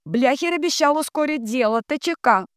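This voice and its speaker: A woman voicing a hysterical, heavy female psychic medium who wails, bellows and grumbles in drawn-out anguished calls. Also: high-pitched, expressive, no room sound, studio quality, voice pushed up. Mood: strained, insistent.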